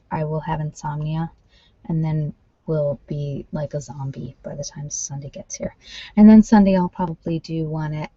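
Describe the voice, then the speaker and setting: A woman's voice: on the low side, mellow. A woman chatting casually and informally to a live online audience, rambling a little as she thinks aloud. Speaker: ASMR creator hosting a live workshop session with tarot readings. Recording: muffled, roomy sound.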